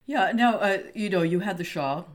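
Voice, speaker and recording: A woman's voice: mid-range voice, lively intonation, normal speed. An older woman hosting a casual interview, chatty and full of fillers. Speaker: podcast host.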